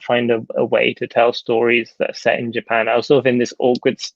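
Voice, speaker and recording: Halting man, gravelly voice. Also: medium pitch, completely flat delivery, dry recording, highs cut off. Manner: hesitant, many fillers and restarts. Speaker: film director. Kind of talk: casual interview answers.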